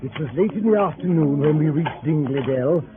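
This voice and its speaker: An actor playing a composed, literary gentleman narrator, recounting his travels in scripted 1930s radio style. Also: fairly high pitch, brisk, lightly acted. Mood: composed, descriptive. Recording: muffled 1938 radio, music behind.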